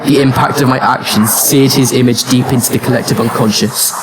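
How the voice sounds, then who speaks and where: A man's voice: mid-range voice, fairly even intonation, fairly quick, very noisy, natural voice. A man acting as a haunted immortal who narrates his own tale in an audio drama. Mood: grave, awed. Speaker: narrator and lead actor.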